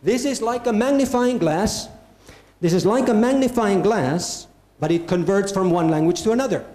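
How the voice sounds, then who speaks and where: A man speaks to a conference audience, pressing his points and repeating phrases for stress. His voice sits high with a warm, mellow tone. The sound carries the echo of a large hall. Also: lively intonation, moderate pace. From Finland. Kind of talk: live keynote talk. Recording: echoey hall.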